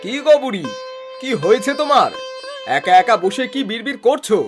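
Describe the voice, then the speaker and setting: A performer voicing a helpful, concerned village man in a children's cartoon, earnest and expressive in a familiar way. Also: mid-range voice, a little fast, over music, close to an everyday voice. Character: helpful, concerned village neighbour named Ratan, male. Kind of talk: Bengali children's cartoon dialogue.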